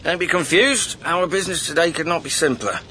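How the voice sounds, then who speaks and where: A swaggering highwayman from a radio drama, with a sharp, forceful edge. He taunts in florid, archaic phrases with rough grammar and playful menace. Some ambient noise sits behind him. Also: a little fast. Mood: theatrical boasting.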